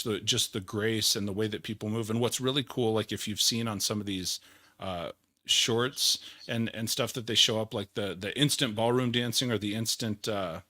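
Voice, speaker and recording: A man's voice: on the low side, dry recording. Upbeat man hosting a leadership podcast. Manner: enthusiastic, energetic, repeats words. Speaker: podcast host.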